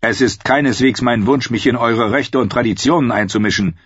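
A man's voice: medium pitch, brisk, breathy edge, dry recording, voice pushed up, close to an everyday voice. A man voicing a cold, impatient king who speaks curtly and commandingly, in a slightly dull audiobook recording. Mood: stern. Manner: curt, commanding.

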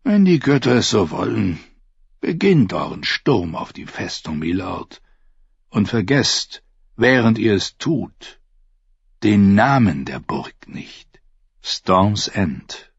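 A man's voice: fairly low pitch, sing-song, slow, creaky, breathy edge, dry recording, dull audio, exaggerated voice. A man voicing a scornful old knight. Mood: mocking. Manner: contemptuous, mocking.

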